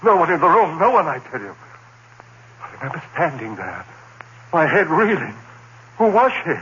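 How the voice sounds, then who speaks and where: A man playing a terrified condemned prisoner recounting his nightmare in halting, anguished phrases, in the style of 1940s radio horror. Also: a little high, sing-song, a bit bright, lo-fi sound. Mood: frantic insistence. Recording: noisy, band-limited old radio recording.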